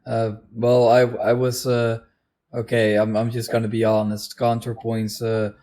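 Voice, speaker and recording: A man's voice: medium pitch, monotone, a little slow, mellow. Guy just chatting on a voice call, unscripted, kinda hesitant, repeats himself a lot. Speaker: video co-host. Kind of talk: casual unscripted online chat.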